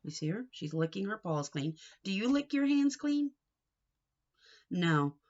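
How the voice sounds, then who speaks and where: A woman reading a picture book aloud to young children in an expressive, sing-song way, coaxing them with questions. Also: medium pitch, measured pace, muffled recording.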